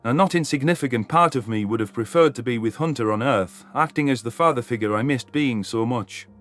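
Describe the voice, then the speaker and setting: A man's voice: mid-range voice, a little fast, a bit bright. A man reading scripted first-person science fiction as a story narrator.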